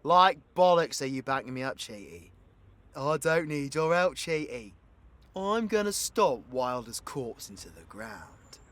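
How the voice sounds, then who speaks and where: A man's voice: medium pitch, sing-song, measured pace, fairly bright tone. A British man playing a cocky, foul-mouthed but protective supervillain in an audio roleplay, with brash, teasing, slangy delivery. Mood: defiant, boastful. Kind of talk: audio roleplay acting addressed to the listener.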